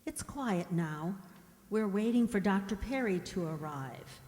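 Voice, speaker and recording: Woman as a timid young girl, slow and expressive, echoing. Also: mid-range voice, faint music behind, lightly acted. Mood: anxious, hushed. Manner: breathless gasps, wistful, fearful. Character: timid, gentle young mute woman's anxious inner voice. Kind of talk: old-time radio drama acting. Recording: echoey hall, long reverb.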